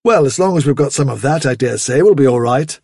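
A man voicing a hearty, good-humoured male rabbit leader in a storybook, brisk and jovial. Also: medium pitch, lively intonation, vocal fry, a little mellow, slightly rough, no echo, clean studio sound. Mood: cheerful, brisk.

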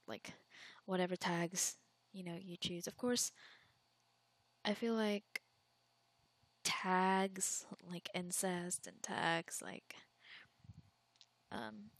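A woman's voice: on the low side, vocal fry, no echo. A young woman from the Philippines chatting candidly in a casual Q&A, rambling with frequent fillers and restarts.

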